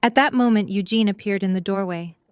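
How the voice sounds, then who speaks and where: Clear, dry female story narrator. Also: mid-range voice, fairly quick, no echo.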